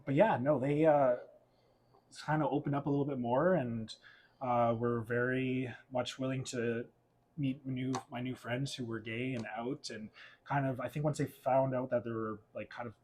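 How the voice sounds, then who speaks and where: A young man with a middle-pitched voice tells his own story as a guest, openly and with frequent laughter. A faint ambient hum sits under the recording. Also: fairly even intonation, normal speed, darkish tone. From Portugal, raised in Alberta, Canada. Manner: chatty, candid storyteller who laughs often. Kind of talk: casual interview chat.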